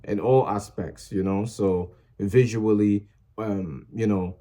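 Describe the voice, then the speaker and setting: Low, mellow-voiced young man rambling earnestly. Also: fairly even intonation, slow. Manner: rambling, filler-heavy, earnest and encouraging. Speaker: small music channel creator. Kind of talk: casual motivational vlog talk.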